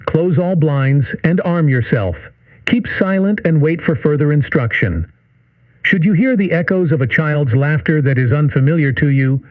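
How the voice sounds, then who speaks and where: Man as a detached broadcast announcer; slightly high, lively pitch, lo-fi tinny line with music behind. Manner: flat formal announcements. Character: male emergency broadcast announcer, cold and official. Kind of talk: played clip from an analog horror series.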